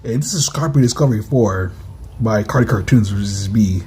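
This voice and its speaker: A man's voice: fairly low pitch, fairly quick, darkish tone, breathy edge. A man casually commenting on his own comics in a rambling, hesitant way. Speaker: comic creator and reader.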